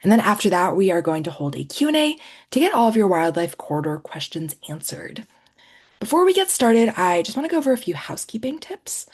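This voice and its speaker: A woman's voice: mid-range voice, sing-song, brisk, slightly rough. A woman hosting a webinar over a video call, reading a prepared welcome, housekeeping notes and an introduction of the speaker. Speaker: webinar host.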